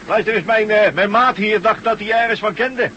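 Brash, chatty truck driver, engine noise. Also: male voice, high voice, normal speed, sharp tone, muffled recording. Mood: brash, teasing. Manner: colloquial, brash, jocular. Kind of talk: radio drama acting. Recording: noisy old radio play recording.

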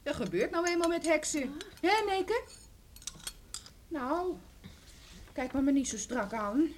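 A woman's voice: sits high, animated, takes it slowly, background noise. An actress playing a cheeky, sceptical woman in a radio drama, teasing and giggly. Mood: mocking, sceptical. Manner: mocking, giggly.

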